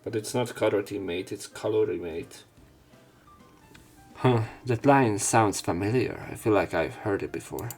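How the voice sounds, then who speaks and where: A man's voice: on the low side, lively intonation, measured pace, darkish tone. A man hosting a let's play, reading lines aloud and commenting casually with informal, wry asides. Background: game music.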